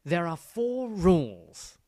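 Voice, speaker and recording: A man voicing a patient but superior cartoon prisoner, measured and exasperated as he explains things in comedy dialogue. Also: high voice, sing-song, dark tone, no room sound, studio quality, full character voice. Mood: didactic, exasperated.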